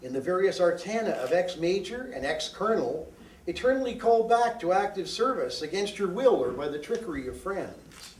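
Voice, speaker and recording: A man reading his own poetry aloud in a deliberate, deadpan, declamatory way with emphatic phrasing. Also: high-pitched, slight background noise. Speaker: poet reading own work. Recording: roomy echo, distant microphone.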